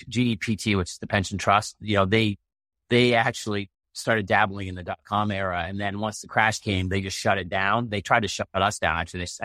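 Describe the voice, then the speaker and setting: Fast-talking middle-aged American man, lowish voice. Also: a bit bright, no room sound. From Maine, United States. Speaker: interview guest, tech entrepreneur. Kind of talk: casual conversational storytelling.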